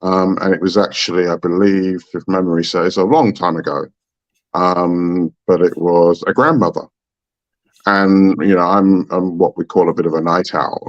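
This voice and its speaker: A man from Trinidad, a guest on a talk show, telling a story in a thoughtful, halting, unhurried way. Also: low voice, lo-fi sound. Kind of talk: reflective storytelling in conversation.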